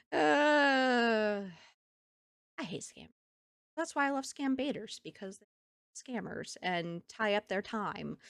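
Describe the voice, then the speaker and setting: Lively, animated woman streamer. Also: mid-range voice, gravelly, no echo, studio quality. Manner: animated storytelling, laughs often. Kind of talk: casual chat with live chat. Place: home streaming setup.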